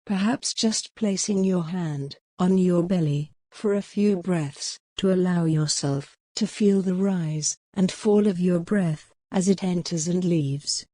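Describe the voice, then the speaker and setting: A woman leading a guided mindfulness meditation in a gentle, soothing, measured way with long pauses. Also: on the low side, no room sound, studio quality.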